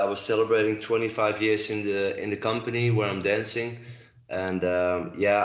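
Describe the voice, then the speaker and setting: A Dutch-accented man in his forties talks through a dull, lo-fi call from a roomy, echoing space at home. His voice sits fairly low, and he thinks aloud with many ums and restarts. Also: fairly bright tone. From Netherlands. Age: 40s. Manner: hesitant, many fillers and restarts. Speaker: guest, dancer and choreographer. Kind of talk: casual interview conversation.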